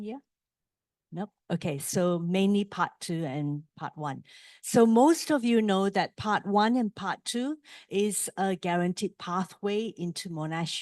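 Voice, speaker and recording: A middle-aged woman with a Malaysian accent speaks to a room of new students at orientation. Her voice sits a little low and she moves along in a measured way, filling pauses with ums and uhs. The sound is dry and close. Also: no room sound. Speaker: university presenter.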